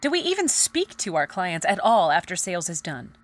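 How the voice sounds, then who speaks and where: An actress playing a sharp, skeptical saleswoman in a theatrical audio musical, dismissive and challenging in manner. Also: lowish voice, very animated, fairly quick. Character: sharp, skeptical saleswoman sparring with a marketing colleague. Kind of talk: theatrical musical acting, spoken and sung.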